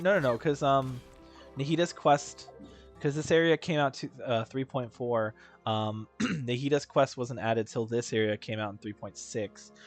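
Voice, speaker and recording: A man giving casual live gameplay commentary as a streamer, informal and rambling, often correcting himself mid-thought. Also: mid-range voice. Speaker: game streamer.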